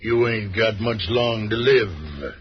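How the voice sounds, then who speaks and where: Muffled vintage radio, slow outlaw thug, lowish, menacing. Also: male voice, lively intonation. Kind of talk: old-time radio western acting. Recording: dull, noisy old radio recording.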